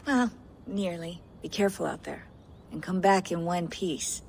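A calm support operator. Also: female voice, fairly low pitch, unhurried, gravelly, slight background noise. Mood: steady. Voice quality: calm, reassuring. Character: steady, supportive female tech operator.

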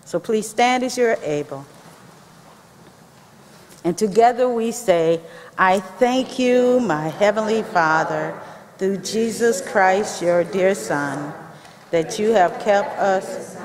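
A woman leads worship in a large chapel. She talks slowly, with measured phrases addressed to the congregation. The hall adds a long reverberation to her voice. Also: medium pitch, slight background noise. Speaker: campus chaplain leading a chapel service. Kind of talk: addressing a congregation, welcome and prayer. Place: large indoor chapel with brick walls. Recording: echoey hall, picked up from the audience.

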